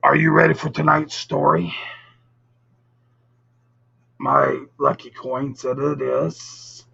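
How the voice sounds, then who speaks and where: A man with a gravelly, raspy voice hosting a live storytelling show, chatting casually with viewers in a drawn-out, theatrical, teasing way. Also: a little high, steady tone, pushed and bright. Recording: dull lo-fi audio, music behind. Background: music.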